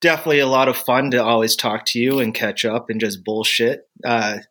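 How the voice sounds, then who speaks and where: A man's voice: mid-range voice, steady tone, fairly quick, a bit bright. A man who is a guest on a casual podcast, laid-back and sarcastic, sounding a little stuffed up, swearing freely. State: stuffed up from pollen.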